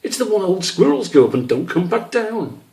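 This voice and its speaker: A man's voice: high-pitched, very animated, normal speed, darkish tone, voice pushed up. A man voicing a curious, dreamy young male squirrel in a children's story, with a hesitant, questioning manner.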